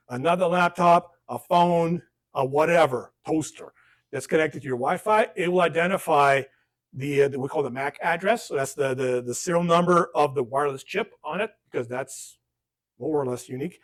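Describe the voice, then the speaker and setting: A man's voice: a little high, normal speed. A man teaching a casual class on online safety, chatting with jokes and acting out dialogue. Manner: conversational, joking asides, acts out dialogue. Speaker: workshop instructor. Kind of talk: informal classroom lesson.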